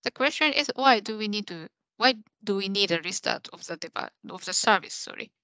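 A woman's voice: mid-range voice, lively intonation, a little fast, sharp tone, dry recording. A young adult woman giving a technical demo on a video call, explaining things step by step. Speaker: presenter of a software demo. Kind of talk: technical presentation with live demo. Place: small indoor room, on a video call.

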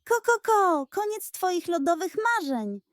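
A woman voicing an excitable hen in a children's audio story, clucking as she talks. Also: very high-pitched, unhurried, no room sound, studio quality, voice pushed up, full character voice. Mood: mocking. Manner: clucks before speaking.

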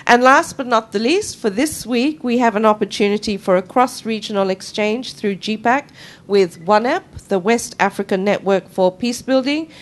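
A middle-aged woman moderating a conference panel, introducing the speakers in a measured way with frequent ums, speaking into a handheld microphone in a conference room. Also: a little high.

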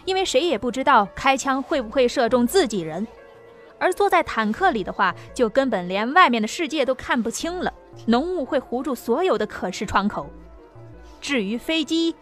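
A woman narrates a scripted audio drama. Her voice is fairly high, and soft music plays faintly behind her.

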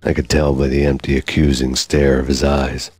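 An actor voicing a jaded, brooding hard-boiled cop who narrates in a deadpan, wry, terse noir style. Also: male voice, very deep voice, moderate pace, husky, no echo.

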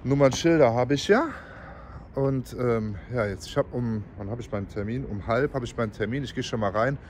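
A man in his forties with a cold, talking casually and excitably into a phone camera held at arm's length. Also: medium pitch, a little fast, slight background noise. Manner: chatty, excitable, swears casually. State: has a cold. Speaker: vlogger. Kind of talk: casual vlog. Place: living room, then car park and car interior.

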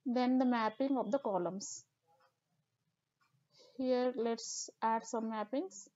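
A woman narrates a screen-recorded software tutorial, explaining each step with occasional fillers. Her voice sits a little high and mellow. The recording sounds dull and lo-fi. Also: fairly even intonation, slow. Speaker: tutorial instructor.

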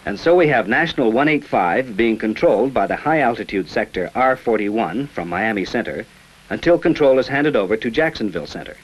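A man reading scripted narration for an old informational film in a measured, formal manner. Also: medium pitch, expressive, dull audio, slight background noise. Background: background music.

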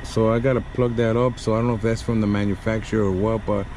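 A man's voice: on the low side, flat delivery, quick pace, a little mellow. A man vlogging as a trucker, talking casually to viewers while he works, outdoors at a gas station at night on a head-mounted camera. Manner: laid-back, talks to viewers while working, mixes in Spanish phrases. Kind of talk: casual how-to vlog. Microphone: head-mounted action camera. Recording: noisy outdoor vehicle ambience.

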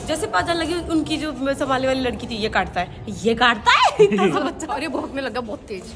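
A young woman chatting excitedly with her family in a giggly, lively way. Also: sits high, fairly quick, music in the background, a crowd in the background. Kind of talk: casual family chat.

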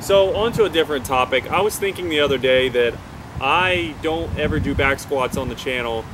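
A man's voice: on the high side, normal speed. Young man vlogging outdoors, noisy. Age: 20s. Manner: easygoing, rambling, many fillers. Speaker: fitness vlogger. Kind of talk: casual vlog talk to camera. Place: outdoors by a river and on a lawn. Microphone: handheld camera at arm's length. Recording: outdoor noise behind the voice.

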